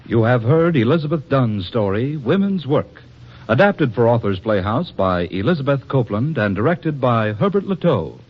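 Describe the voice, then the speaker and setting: A man announcing in a formal, measured 1940s radio style. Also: mid-range voice, very animated. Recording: dull, hazy vintage radio recording.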